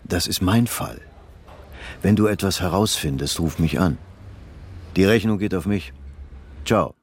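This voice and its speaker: An actor playing a terse, matter-of-fact police inspector in a radio drama. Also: male voice, sits low, measured pace, breathy edge. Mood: firm. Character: terse, hard-nosed male police inspector.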